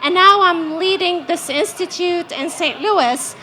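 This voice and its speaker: High-voiced Lebanese woman on a panel. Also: unhurried, pushed and bright, slight background noise. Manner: enthusiastic, tag questions like "right?". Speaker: panelist leading questions. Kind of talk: live panel discussion. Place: stage at a festival panel.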